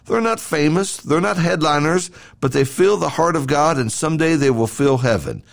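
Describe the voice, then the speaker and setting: A man reads a devotional sermon as a podcast host. His voice sits a little high for a man and moves through lively rises and falls. The delivery is earnest and emphatic, built on repeated phrases and rhetorical questions. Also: normal speed, vocal fry. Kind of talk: devotional sermon read from a script.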